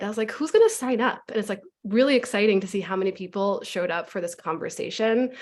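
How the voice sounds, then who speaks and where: A woman speaks on an online panel as a nonprofit deputy director. She sounds enthusiastic and conversational, with a mid-range voice and frequent "like"s. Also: mid-range voice. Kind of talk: panel remarks.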